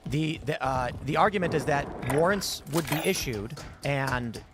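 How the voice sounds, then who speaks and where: A man's voice: on the high side, over music. A man hosting a casual political talk show, reading news aloud and often restarting his sentences. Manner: halting, many restarts. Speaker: podcast host.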